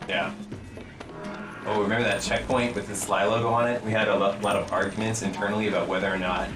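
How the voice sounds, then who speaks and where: A man's voice: fairly low pitch, level tone, fast. A man giving casual developer commentary over gameplay, cracking dry, wry jokes. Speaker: game developer. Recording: roomy, distant mic, game music behind.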